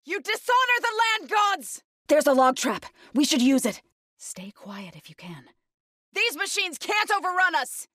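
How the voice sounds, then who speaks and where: Intense, bright-voiced young warrior woman. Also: high-pitched, big pitch swings, unhurried, no room sound, studio quality, exaggerated voice. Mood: earnest, alert, then hushed, watchful. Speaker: video game voice actor. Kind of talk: scripted game combat barks and companion lines.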